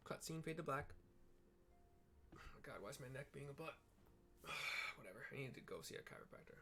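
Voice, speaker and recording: A man's voice: mid-range voice, animated, a little fast, sharp tone. A man chatting casually through a game playthrough, describing scenes with rambling, jokey asides. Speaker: gameplay narrator.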